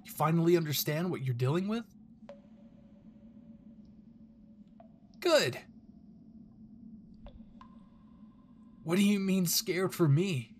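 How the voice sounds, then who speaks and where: A man's voice: on the high side, a little fast, a little hiss or hum, voice pushed up, lightly acted. A man voicing a cruel, sneering male villain henchman in an anime fan audio drama, theatrically mocking and taunting.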